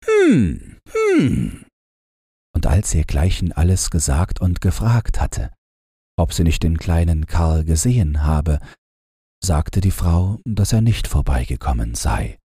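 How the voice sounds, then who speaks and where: A man narrating a bedtime fairy tale as an audiobook reader, calm and unhurried, with a low, husky voice. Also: no room sound, clean studio sound. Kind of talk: scripted fairy-tale narration for falling asleep.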